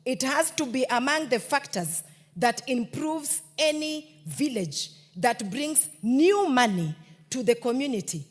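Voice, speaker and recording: A Kenyan woman speaks in parliament at a very slow, deliberate pace, her voice a touch high. She keeps addressing the Speaker in measured phrases. A large chamber adds long reverb. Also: animated. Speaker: member of parliament. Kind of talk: parliamentary speech.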